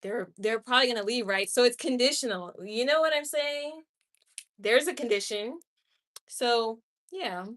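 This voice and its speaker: A woman's voice: on the high side, moderate pace, a bit bright. A woman in her late twenties chatting candidly and with strong opinions on a livestream, answering her viewers' comments directly. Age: 20s. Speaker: livestream host.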